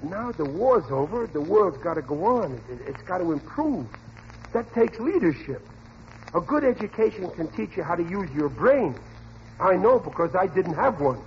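Blustering 1940s radio family father, American, high voice, repeats himself, bad grammar; noisy vintage recording. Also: very animated, normal speed, muffled recording. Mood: indignant, blustering. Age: middle-aged. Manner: stammering, repeating himself, ungrammatical. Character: blustering, uneducated, well-meaning working-class family father. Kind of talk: 1940s radio comedy acting.

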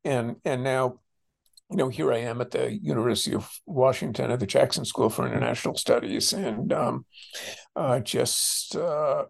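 Halting older American man, very creaky voice. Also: medium pitch. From United States. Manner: halting, hesitant, circling back over phrases. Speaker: interviewed author, scholar and former policy official. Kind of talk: reflective interview talk.

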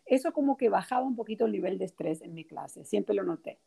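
A woman's voice: medium pitch, expressive, quick pace, creaky, husky. A woman in her forties from Uruguay, speaking as an interview guest, telling stories with frequent fillers and restarts. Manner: warm storytelling with many fillers and restarts. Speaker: guest, children's book author and teacher. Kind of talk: casual interview conversation.

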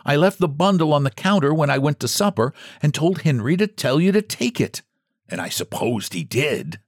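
A kind-faced elderly storekeeper, voiced by a man reading aloud, who talks slowly and gently. The voice sits a little higher than the reader's own, with plenty of rise and fall, and the recording is dry and close. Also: big pitch swings, no echo. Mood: wondering. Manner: slow and gentle.

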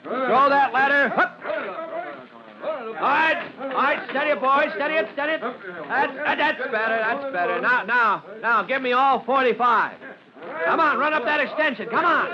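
An actor playing a brisk, jocular young fire lieutenant shouting short commands in an old-time radio drama. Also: male voice, very high voice, over a noisy crowd. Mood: commanding. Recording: dull old radio recording.

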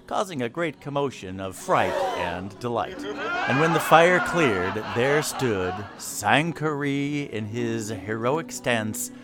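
A man's voice: mid-range voice, animated, takes it slowly. A man narrating a scripted fantasy audio drama in the role of storyteller. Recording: clear music behind the voice. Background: music.

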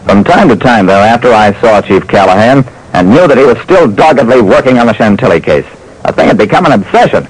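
A man's voice: mid-range voice, sing-song, breathy edge. A man playing a hard-boiled private detective, narrating his cases dramatically in an old-time radio show. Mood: measured narration. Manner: dramatic, deliberate. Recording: noisy old radio recording.

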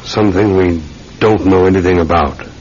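A man's voice: sits low, fairly even intonation, normal speed, darkish tone. A man acting an earnest, methodical Scotland Yard sergeant in a classic radio drama, questioning politely and insistently. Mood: earnest, probing. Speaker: actor in a radio mystery serial. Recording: muffled old radio recording with faint hiss.

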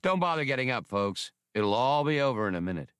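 A man's voice: mid-range voice, very animated, fairly quick, fairly bright tone, clear, resonant tone, dry recording, pitched above the performer's own voice. A man reads as a cocky male space smuggler in an audiobook. He is brash and impatient, recorded close and dry. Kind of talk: audiobook character voice.

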